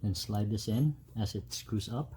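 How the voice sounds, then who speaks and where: A man giving a casual, unscripted step-by-step tutorial as a DIY host, with halting delivery. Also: fairly low pitch, dark tone. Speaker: host of a DIY truck modification video. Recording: clear background noise, ambient sound.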